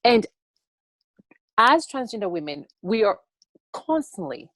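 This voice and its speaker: A young woman from Tanzania answering interview questions on a video call in an earnest, halting way that often repeats words. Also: medium pitch, sing-song, sharp tone, dry recording. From born in Tanzania. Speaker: interview guest, TikTok educator.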